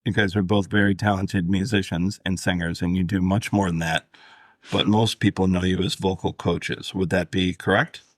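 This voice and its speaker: A man's voice: low voice, steady tone, normal speed, no room sound, studio quality. A man from upstate New York hosting an interview podcast and asking questions in an easygoing way. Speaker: podcast host. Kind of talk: interview host.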